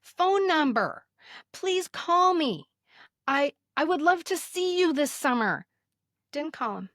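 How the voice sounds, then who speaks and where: An American woman in her fifties telling stories casually as a podcast host, expressive and emphatic, sometimes reading aloud. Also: high voice, takes it slowly, dry recording, clean studio sound. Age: 50s. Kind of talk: casual conversational storytelling, some reading aloud.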